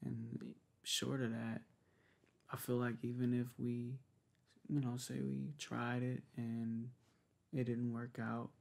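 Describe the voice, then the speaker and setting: A young man speaks in a soft, intimate ASMR roleplay style, as if in pillow talk. His voice sits at a medium pitch with plenty of vocal fry and a husky, breathy edge. He talks casually and haltingly, easygoing and unhurried in manner. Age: young adult. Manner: hesitant, halting, laid-back pillow talk. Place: small bedroom, lying on a bed.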